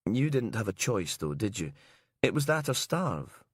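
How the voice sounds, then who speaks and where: Audiobook thriller: male reader as ghostly husband, low, dry, wry, casually coaxing. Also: sing-song, normal speed, slightly rough, dry recording. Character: dead husband's apparition, wry, laid-back, coaxing man.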